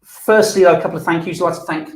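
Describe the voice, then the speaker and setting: A man's voice: fairly high pitch, steady tone, fast talker. A man hosting a live webinar panel, chatty with plenty of fillers and restarts. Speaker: webinar host. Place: event venue room. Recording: echoey room.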